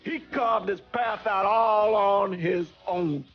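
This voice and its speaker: An actor playing an anguished mobster with a husky voice in a video game cutscene. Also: male voice, high-pitched, slow, dull audio. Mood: anguished, defiant.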